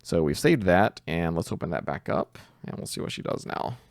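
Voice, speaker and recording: Deep, gravelly man giving a casual tutorial. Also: animated, moderate pace, no room sound, studio quality. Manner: informal, explains step by step. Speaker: tutorial host. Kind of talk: casual screen-share tutorial narration.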